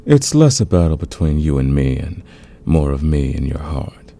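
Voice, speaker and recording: A man playing a smitten, dominant fantasy villain in a romantic ASMR roleplay, speaking in an intimate, teasing, confident way with a gravelly, husky voice. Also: extremely low pitch, big pitch swings, normal speed, mellow, heavily acted. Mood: confessional, seductive. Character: smitten male fantasy villain, patient, dominant, smoothly seductive.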